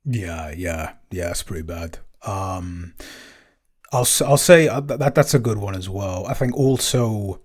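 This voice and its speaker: Low-pitched male podcast co-host, casual and chatty, filler-heavy. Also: lively intonation, unhurried. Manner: chatty, frequent "um" and "you know" fillers. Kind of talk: casual chat.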